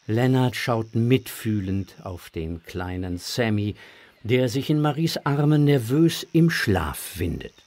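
A man with a husky voice, narrating a scripted children's story expressively. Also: lowish voice, lively intonation, takes it slowly, a little mellow.

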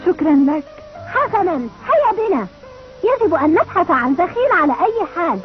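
A woman's voice: extremely high pitch, sing-song, brisk, soft background music. A woman playing a sweet, trusting young princess in a dubbed fairy-tale cartoon. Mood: grateful. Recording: dull lo-fi dub with faint noise.

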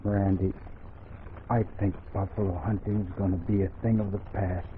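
An earnest frontier buffalo hunter played by a radio actor, speaking low in 1940s drama style. The recording is tinny and narrow, with faint noise behind him. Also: male voice, little pitch movement, normal speed, lightly acted. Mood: earnest, relieved. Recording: tinny, noisy old radio broadcast.

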